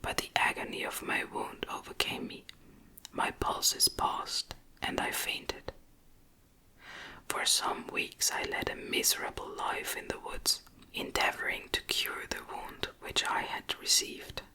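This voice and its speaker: A woman reads a gothic novel aloud in a gentle, breathy ASMR whisper, with measured, deliberate phrasing, close to the microphone. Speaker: audiobook reader.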